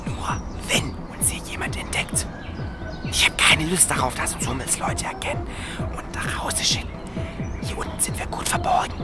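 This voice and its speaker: A woman playing a bossy, sceptical young boy detective in an audio drama, brisk and reproachful. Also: deep voice, loud music behind the voice, natural voice. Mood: hushed, bossy.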